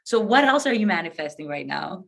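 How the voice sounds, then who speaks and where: A woman's voice: lowish voice, sing-song, brisk, vocal fry, fairly bright tone. A woman hosting a radio interview, effusive and gushing, mixing Greek and English. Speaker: radio show host.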